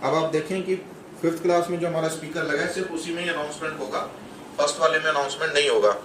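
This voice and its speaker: A middle-aged man walks through a product demo in a plain, explanatory way, pausing now and then to find the next step. His voice sits a little high for a man, and there is a faint background hum. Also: fairly even intonation. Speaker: product demonstrator.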